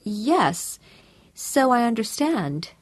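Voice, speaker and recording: A woman's voice: a little high, very slow, no room sound, voice pushed up, exaggerated voice. Woman playing a sing-song American housewife. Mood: bright. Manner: sing-song, earnest and steady. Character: earnest, chirpy American housewife.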